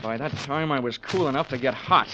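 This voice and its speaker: An American actor playing a sardonic, hard-boiled investigator who wisecracks through a 1940s radio drama. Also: male voice, medium pitch, a little fast, dull audio, lightly acted. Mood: wry narration. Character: wry, hard-boiled male investigator narrating his case. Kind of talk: 1940s radio detective acting. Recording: 1940s radio drama recording with organ music.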